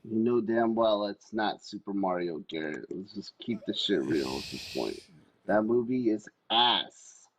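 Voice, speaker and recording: A man's voice: fairly low pitch, animated, measured pace, a little hiss or hum. A man giving blunt, casually profane opinions as co-host in a podcast debate, through a slightly muffled microphone.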